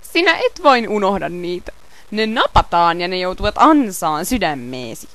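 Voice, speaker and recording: A woman's voice: lowish voice, very animated, a bit bright, no room sound. A woman playing a cold, taunting male villain scientist in an amateur game fandub, with a theatrical, ominous delivery.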